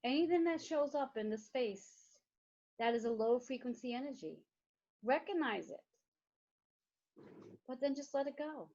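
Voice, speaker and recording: Meditation guide: woman with a slightly high voice, soothing and repetitive, on a dull lo-fi recording. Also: animated, darkish tone. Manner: slow, soothing, repetitive phrasing.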